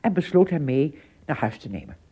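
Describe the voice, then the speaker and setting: A woman narrating an adventure tale in a radio play, with expressive, dramatic phrasing and a husky, breathy voice. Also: sits low, fairly quick, full character voice. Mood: suspenseful storytelling. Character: female storyteller of an adventure tale.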